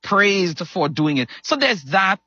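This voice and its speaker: Animated Trinidadian man, bright husky voice. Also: high-pitched, slow, no room sound, muffled recording. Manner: animated storyteller, laughing and acting out quotes. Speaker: pastor and guest. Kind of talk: casual interview chat.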